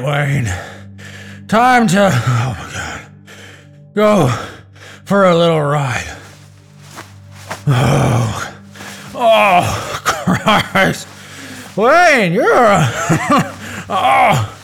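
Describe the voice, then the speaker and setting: Exasperated male lackey, crude grumbler, high-pitched with gravelly edge, suspense audio drama. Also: fairly bright tone, full character voice. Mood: straining, grumbling. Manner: sighing, grumbling, foul-mouthed.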